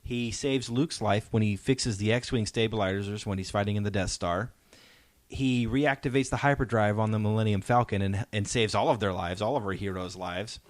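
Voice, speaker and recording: Low-voiced, enthusiastic man hosting a podcast. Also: moderate pace, no echo. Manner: enthusiastic, emphatic, seeking agreement. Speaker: podcast host. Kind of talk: casual fan discussion.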